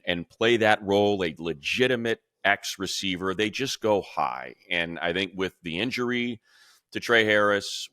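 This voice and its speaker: A male radio play-by-play announcer in casual sports talk, animated and talking in quick bursts with restarts. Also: low-pitched, no room sound, studio quality. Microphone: video call.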